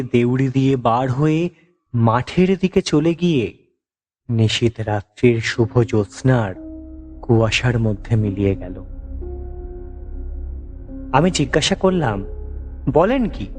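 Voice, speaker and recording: A man narrates a horror story at a slow, measured pace. His voice is medium in pitch and dramatic with suspense. Faint music plays behind him. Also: lively intonation, a little mellow.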